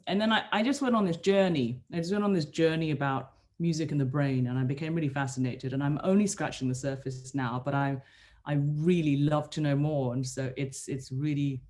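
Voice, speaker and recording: A woman's voice: a bass voice, dark tone. A woman musician talking over a video call, earnest and searching, often restarting her sentences. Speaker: musician guest.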